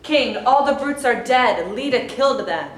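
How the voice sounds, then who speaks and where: A woman's voice: fairly high pitch, expressive, moderate pace, a little hiss or hum, close to an everyday voice. A woman playing an exhausted, protective cavewoman in a staged reading, speaking plainly and earnestly. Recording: echoey hall.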